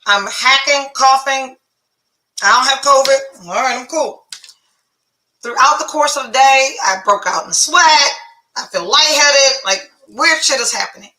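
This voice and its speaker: A woman's voice: high voice, measured pace, sharp tone, echoey room, poor audio. A woman podcast host telling a story in a casual monologue, blunt and profane, sounding congested with phlegm.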